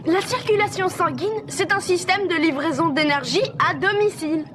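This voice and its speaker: Very high-pitched wary cartoon schoolboy. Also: female voice, moderate pace, a crowd in the background. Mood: wry. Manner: wry, complaining, exclamatory. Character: reluctant, wisecracking, easily alarmed young schoolboy. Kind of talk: dubbed children's cartoon acting.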